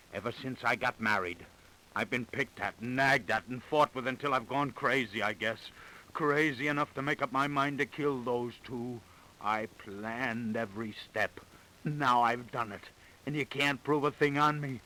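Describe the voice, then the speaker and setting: An actor playing a defiant, gloating, half-crazed confessed killer in a theatrical 1930s radio crime drama. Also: male voice, medium pitch, a little slow, bright, forceful tone, slight background noise, poor audio. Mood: bitter, brooding. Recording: vintage 1930s radio recording.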